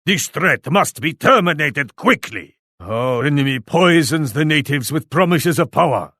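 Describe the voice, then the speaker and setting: An actor playing an arrogant, ruthless warlord in a video game, speaking in a stern, imperious and formal manner. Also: male voice, on the high side, lively intonation, a little slow, sharp tone, dry recording, clean studio sound, exaggerated voice. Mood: alarmed, urgent. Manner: imperious, stern, formal, refers to himself in third person. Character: arrogant, ruthless, scheming warlord who scorns his allies. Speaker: computer player in a strategy game. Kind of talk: scripted video game voice lines.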